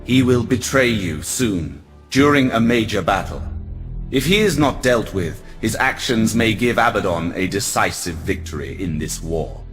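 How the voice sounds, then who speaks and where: A proud alien prince in a game cutscene. His voice sits on the low side, and he speaks with measured, lofty formality. Faint music runs underneath. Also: animated, fairly bright tone. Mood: grave warning. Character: proud, aloof male alien elf prince. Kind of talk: video game cutscene acting.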